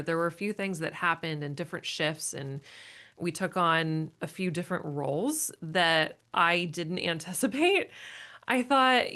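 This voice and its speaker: A woman's voice: lowish voice, a bit bright. A woman in her forties, a podcast guest, talking in long reflective runs in a casual interview. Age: 40s.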